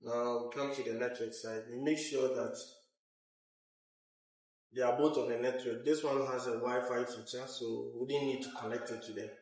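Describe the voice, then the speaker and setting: A man presenting a hands-on technical tutorial, explaining step by step in a halting way. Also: medium pitch, steady tone, a little mellow. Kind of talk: hands-on instructional walkthrough. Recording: roomy echo, uneven distance from mic.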